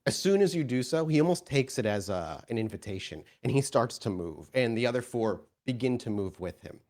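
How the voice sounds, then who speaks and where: A man improvising tabletop game narration as game master, in a halting, descriptive storytelling manner. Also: medium pitch, lively intonation, darkish tone.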